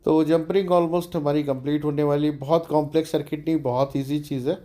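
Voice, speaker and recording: A man's voice: on the high side, fairly even intonation, brisk, dark tone. A man giving a casual, step-by-step hands-on tutorial, explaining informally and mixing in English technical words. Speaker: DIY electronics tutorial host.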